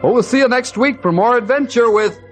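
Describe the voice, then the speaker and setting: A man playing an earnest storyteller, narrating an old-time radio adventure dramatically for young listeners in a husky voice. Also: very high-pitched, a little fast, soft background music. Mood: friendly, wrapping up. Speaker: narrator and actor.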